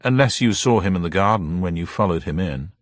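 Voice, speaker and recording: A man voicing a quick-witted young woman in a detective story, eagerly explaining to cover for her companion. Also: low voice, lively intonation, no echo, studio quality.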